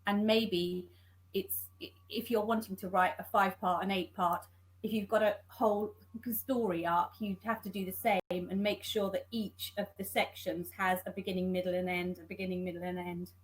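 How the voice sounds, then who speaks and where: A woman's voice: mid-range voice, little pitch movement, moderate pace, rough recording. A woman chatting as a panel guest about her work, tentative and often correcting herself. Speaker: scriptwriter on a panel. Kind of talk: casual panel talk.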